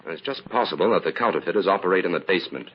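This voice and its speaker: An actor playing a calm, shrewd crime investigator in an old-time radio drama, explaining things crisply and confidently. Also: male voice, sits low, rapid-fire. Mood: confident, businesslike. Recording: muffled, hissy old radio recording.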